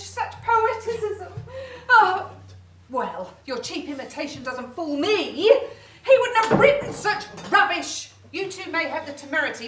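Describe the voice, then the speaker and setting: Shrill, excitable theatrical diva. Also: female voice, full character voice. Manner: theatrical, effusive declaiming. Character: excitable, haughty, melodramatic theatre actress, easily offended. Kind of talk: stagy radio play acting. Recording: roomy, distant, noisy home-style recording.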